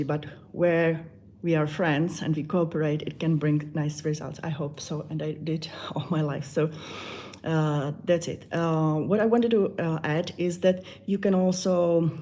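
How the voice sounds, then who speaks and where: A woman with a Czech accent, a meditation channel creator chatting softly and hesitantly on a live stream, with many fillers. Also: deep voice. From Czech Republic.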